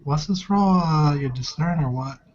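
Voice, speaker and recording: A man's voice: fairly high pitch, normal speed, a little mellow. A man playing a tabletop roleplaying game, chatting casually. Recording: muffled lo-fi online voice call.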